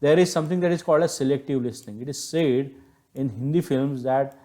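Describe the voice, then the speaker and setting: A man's voice: mid-range voice, fast, dark tone. A man with an Indian accent giving an explanatory lecture, stressing key words and rephrasing his points in a didactic way. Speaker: teacher, lecturer.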